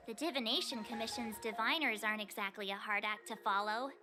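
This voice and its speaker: A woman's voice: on the high side, steady tone, quick pace, natural voice. A woman voicing a cheeky, perky teenage girl adventurer in a video game, with a breezy, teasing manner. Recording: background music throughout.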